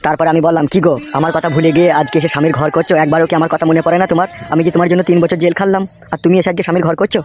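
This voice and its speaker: Fast, high-voiced blunt cartoon henchman, played by a man. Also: little pitch movement, a little mellow, close to an everyday voice. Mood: bitter storytelling. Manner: blunt, swearing, rural colloquial talk. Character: gruff foul-mouthed henchman, jilted and world-weary young man. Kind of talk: amateur comedy cartoon dub.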